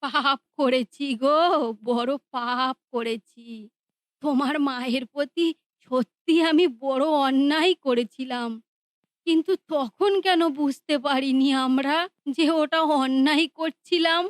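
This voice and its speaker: A woman's voice: steady tone, measured pace, no echo, clean studio sound. A woman voicing a harsh, scolding mother and daughter-in-law in a cartoon. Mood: remorseful. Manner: shrill, scolding.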